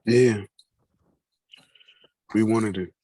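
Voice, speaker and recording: A man playing an earnest, tender adult son with a husky voice in a staged play reading. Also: on the low side, steady tone, extremely slow, warm tone, no echo. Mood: tender, wistful.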